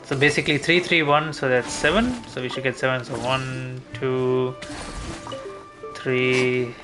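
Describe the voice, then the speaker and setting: A man's voice: mid-range voice, flat delivery, unhurried, over music. A man giving casual gameplay commentary as a streamer, thinking aloud in a rambling, mildly sweary way. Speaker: game streamer.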